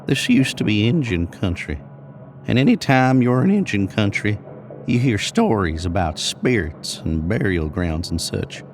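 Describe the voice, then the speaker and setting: A man's voice: fairly low pitch, very animated, a little slow, faint music behind. A man voicing an older, rambling American cowboy in an audio horror story, with a folksy, drawling manner. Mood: ominous, musing. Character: older rambling cowboy spinning a spooky trail tale.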